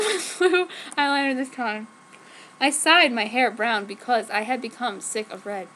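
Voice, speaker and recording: A woman's voice: high-pitched, pushed and bright, a little hiss or hum, rough recording. A woman giving a dramatic reading of amateur fan fiction in a theatrical manner, stumbling over words. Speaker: reader.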